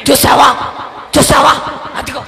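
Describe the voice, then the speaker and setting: A man voicing a loud, theatrical Satan puppet in a children's church show, taunting and repeating himself. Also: very high-pitched, flat delivery, unhurried, creaky, exaggerated voice. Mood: taunting, boastful. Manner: theatrical, exclamatory, repetitive. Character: Satan puppet, loud taunting devil, easily frightened of Jesus. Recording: echoey hall with long reverb.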